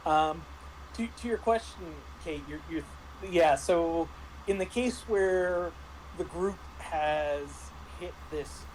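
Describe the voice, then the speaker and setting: Halting man talking over background noise. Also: on the high side, a little mellow, low-quality recording. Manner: halting, thinking aloud. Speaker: tabletop game master and podcast panelist. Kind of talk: casual panel discussion about roleplaying games.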